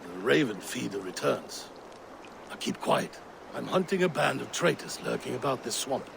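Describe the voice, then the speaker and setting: A man's voice: medium pitch, very animated, moderate pace, vocal fry, background noise. A man playing an ailing, paranoid Viking jarl in a dramatic video game, proud and brooding. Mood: grave, brooding. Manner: theatrical, brooding, bursting into shouts.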